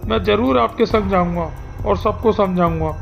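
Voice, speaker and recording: A performer voicing an earnest, protective male village headman in an animated Hindi story, speaking emphatically. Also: medium pitch, normal speed, gravelly. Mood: earnest, resolute. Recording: music behind the voice.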